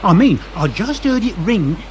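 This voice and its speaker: An actor playing a chatty, nosy little passer-by in a radio drama, rambling and awkwardly polite. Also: male voice, high-pitched, sing-song, moderate pace, a little hiss or hum. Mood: chatty, fussy. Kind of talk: British radio drama acting.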